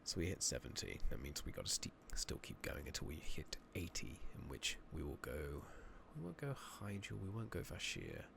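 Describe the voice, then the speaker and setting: A man giving soft-spoken, partly whispered ASMR commentary on a game, muttering and thinking out loud, recorded close on a makeshift mic. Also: rumbling low, huge pitch swings, normal speed, gravelly, few pauses. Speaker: game streamer narrating a playthrough. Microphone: close makeshift microphone. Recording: noisy makeshift mic with clicking.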